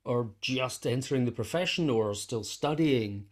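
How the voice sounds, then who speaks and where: A middle-aged man being interviewed in casual conversation, speaking in a reflective, halting way as he thinks aloud. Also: medium pitch. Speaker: musician and teacher being interviewed.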